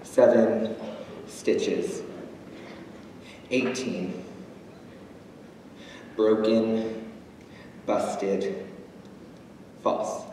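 A young man performing a theatrical monologue as a proud, wounded, defiant drag queen, with deliberate, cutting delivery and dramatic pauses. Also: fairly low pitch, fairly even intonation, mellow. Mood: grim, defiant. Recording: distant, noisy, very echoey auditorium recording.

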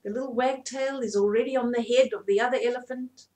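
A woman in her fifties reading a story aloud to children in an animated way, often asking the listener questions. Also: on the high side, fast talker. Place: small indoor room at home.

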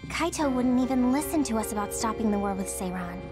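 A woman plays a caring teenage girl soldier in an anime game. Her voice is high and earnest, with a watchful concern, over loud music. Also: lively intonation, fairly quick, lightly acted. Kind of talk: English-dubbed anime game acting.

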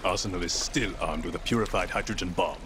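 An actor playing a menacing, bitter undead villain soldier with a deliberate, taunting delivery in a video game cutscene. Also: male voice, low voice, background noise, natural voice. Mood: mocking, grave. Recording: loud music over the voice.

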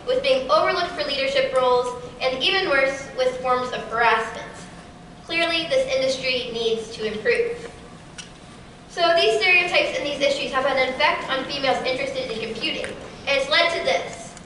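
A teenage girl giving a prepared keynote talk in a large auditorium, with a steady, rehearsed delivery. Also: sits high, slight background noise. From Kansas City area. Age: teens. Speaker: keynote speaker. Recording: echoey hall from far off.

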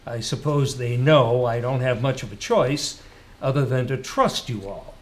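A man's voice: medium pitch, animated, a little slow, close to an everyday voice. A man voicing a cheerful young British pilot with a British accent, chatty and breezy, in a storybook reading.

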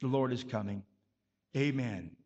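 A man's voice: medium pitch, unhurried, darkish tone, muffled recording. A man preaching a sermon as pastor, emphatic and punctuated with frequent amens. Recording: long reverb of a church hall.

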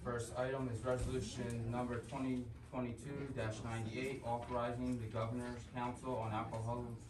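A man's voice: mid-range voice, brisk. A man chairing a township council meeting, reading agenda items in a procedural way. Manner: flat, procedural. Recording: echoey meeting room, far mic, some hiss.

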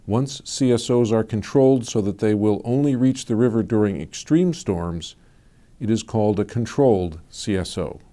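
A man narrates an explanatory video in a measured, even, scripted manner. His voice sits fairly low and has a dark, mellow tone. He sounds like a studio voice-over. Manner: measured, even informational delivery.

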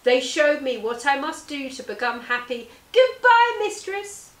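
Storybook parrot, voiced by a woman: high, polite, earnest, wistful caged pet, echoey room. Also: big pitch swings, unhurried, fairly bright tone, heavily acted. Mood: grateful. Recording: roomy indoor echo.

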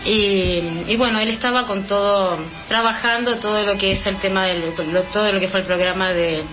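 A woman's voice: mid-range voice, fairly even intonation, moderate pace, dull audio, music in the background. A woman in her fifties from Chile, giving a casual radio interview and talking hesitantly with many fillers and restarts. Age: 50s. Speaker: interview guest.

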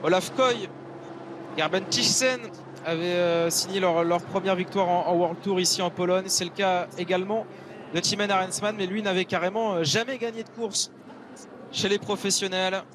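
High-voiced male cycling commentator. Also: steady tone, moderate pace. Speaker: sports commentator. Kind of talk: live cycling race commentary. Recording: clear helicopter and vehicle noise.

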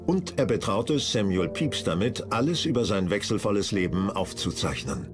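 Male narrator, scripted documentary style, animated delivery, slightly low, clear music bed. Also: moderate pace.

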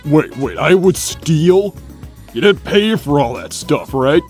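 Man as a sheepish, animated cartoon echidna guy. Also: fairly high pitch, slow pace. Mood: suspicious. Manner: hesitant, placating. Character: easygoing, sheepish adult male cartoon echidna. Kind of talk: animated comic dub acting. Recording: music bed behind voice.

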